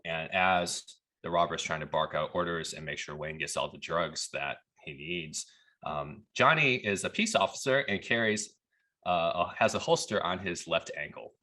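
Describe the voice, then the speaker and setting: A man from Texas telling a workplace story as a podcast guest, in a relaxed, chatty way. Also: deep voice, normal speed. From Texas, USA. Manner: relaxed, chatty, laughs at the absurd parts. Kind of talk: casual storytelling.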